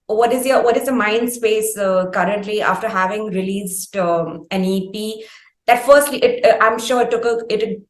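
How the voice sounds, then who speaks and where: A woman's voice: mid-range voice, normal speed. Indian woman interviewer in an echoey room. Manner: wordy, long winding questions. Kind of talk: conversational interview. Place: indoor room on a video call.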